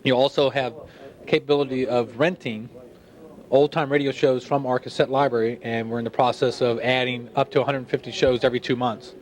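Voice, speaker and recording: A man explaining a club's services in a formal, somewhat halting presentation. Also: mid-range voice, fairly even intonation. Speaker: radio club member presenting the club.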